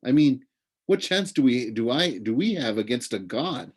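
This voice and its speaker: A man's voice: medium pitch, animated, moderate pace, breathy edge, voice pushed up. A man voicing a young boy hero in a storybook, casual, curious and skeptical, full of questions. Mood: doubtful.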